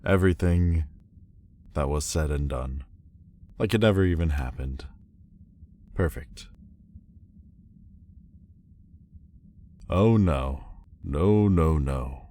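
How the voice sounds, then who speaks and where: A man playing a proud, wounded demon lord in an audio roleplay, guarded but vulnerable, with halting, stiffly formal, wry delivery. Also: very deep voice, dark tone, full character voice. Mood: flustered, stiff. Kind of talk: intimate audio roleplay acting. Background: ambient sound effects.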